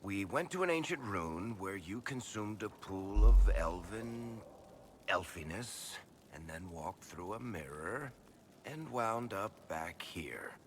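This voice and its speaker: A man's voice: fairly low pitch, animated, slow pace, slight background noise. A man voicing a wry, worldly male dwarf storyteller who talks in a dry, incredulous way.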